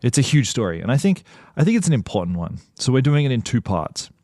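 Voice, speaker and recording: A man hosting a podcast, giving an earnest, measured narration and interviewing a guest. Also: mid-range voice, big pitch swings, dark tone, no echo, clean studio sound. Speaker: podcast host.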